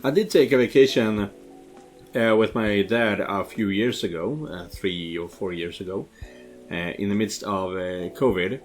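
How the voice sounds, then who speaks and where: A man's voice: fairly low pitch, measured pace, faint music behind. A man from Sweden giving casual, rambling let's play commentary, hesitating as he goes. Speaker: streamer playing and commenting on a visual novel.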